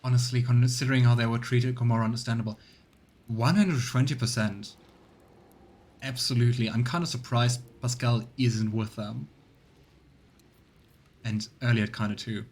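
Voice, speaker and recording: A man's voice: mid-range voice, fairly even intonation, moderate pace, long pauses, darkish tone. A man giving casual let's play commentary and reading game text aloud. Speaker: streamer. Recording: clear background noise.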